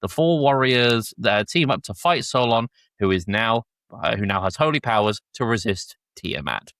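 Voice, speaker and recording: A man's voice: mid-range voice, animated, moderate pace, a bit bright, no echo. A man hosting a podcast, chatting casually and recapping episodes in a jokey, informal way. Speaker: podcast host.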